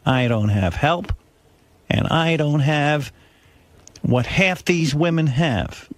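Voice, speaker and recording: A man voicing an aggrieved, self-pitying older mother who guilt-trips in a drawn-out, martyred way. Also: on the high side, no room sound.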